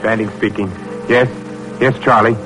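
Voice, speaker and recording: An actor playing an earnest, kindly medical researcher with a brisk manner in a 1940s radio drama. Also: male voice, lowish voice, steady tone, close to an everyday voice, poor audio. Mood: eager. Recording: old radio recording under loud music.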